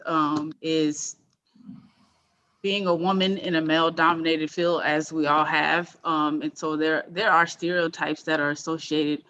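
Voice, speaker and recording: A woman's voice: fairly low pitch, fairly bright tone, highs cut off. Hesitant young woman with a Texan accent. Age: young adult. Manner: hesitant, many fillers. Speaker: graduate student.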